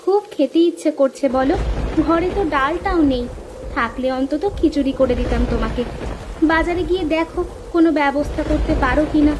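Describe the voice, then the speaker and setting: A village housewife from a cartoon fairy tale, acted by a woman, with a very high voice. She is brisk and nagging but caring underneath. Clear background noise sits behind her. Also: natural voice. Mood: sympathetic. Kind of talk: Bengali cartoon voice acting.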